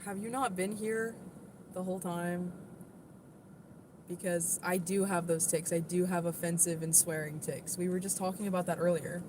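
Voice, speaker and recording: A woman's voice: steady tone, normal speed, background noise. Chatty, defensive woman streamer. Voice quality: moderately deep voice. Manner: chatty and defensive, with frequent "like" and "literally". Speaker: livestreamer. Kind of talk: casual livestream chat, answering viewer comments.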